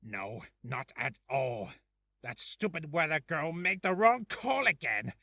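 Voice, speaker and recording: Man playing an irritable, sharp-voiced, pompous doctor. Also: fairly high pitch, big pitch swings, slow pace, vocal fry, no echo, studio quality, full character voice. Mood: aggrieved. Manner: emphatic outbursts, aggrieved complaining. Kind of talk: character voice audition reel.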